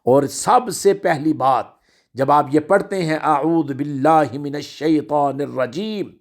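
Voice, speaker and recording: A man preaching an Islamic sermon in an emphatic, exhorting way, with a gravelly voice and rhetorical repetition of phrases. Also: fairly high pitch, slow pace. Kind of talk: religious sermon with recited citations.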